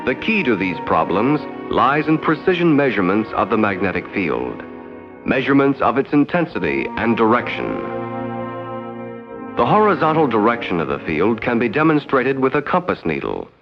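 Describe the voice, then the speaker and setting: A muffled 1960s film soundtrack with music behind the voice carries an American man's narration. He speaks formally and with measured authority, in the manner of an educational film. His mid-pitched voice moves expressively up and down. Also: big pitch swings. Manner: formal, measured, no-nonsense delivery.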